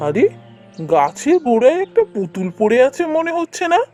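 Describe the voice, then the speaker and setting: An actor playing a poor, kindly woodcutter father in a cartoon, speaking in a gentle, plaintive, musing way. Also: medium pitch, big pitch swings, moderate pace, faint music behind, natural voice. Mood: surprised, hopeful. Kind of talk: cartoon voice acting.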